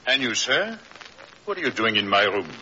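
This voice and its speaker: An actor playing a manipulative, villainous husband with intense delivery in an old-time radio drama. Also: male voice, mid-range voice, sing-song, normal speed, sharp tone. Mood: indignant, menacing. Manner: intense, insistent. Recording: hissy, dull vintage radio recording.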